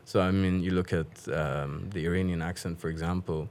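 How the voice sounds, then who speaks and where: A man's voice: low voice, fairly quick. A man whose first language is Dari, a guest in a casual chat, talking with animation and frequent laughter. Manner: animated, laughs often, slips into put-on voices.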